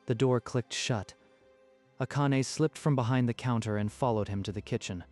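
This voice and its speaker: A man's voice: medium pitch, normal speed, darkish tone. A man narrating a scripted dramatic story in a literary storytelling style. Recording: music behind the voice.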